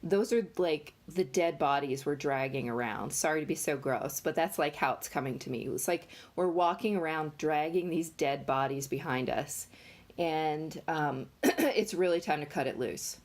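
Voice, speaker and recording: A woman giving a casual tarot reading, talking in a nasal, congested voice with many pauses and fillers. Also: low voice. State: congested from allergies. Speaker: tarot reader.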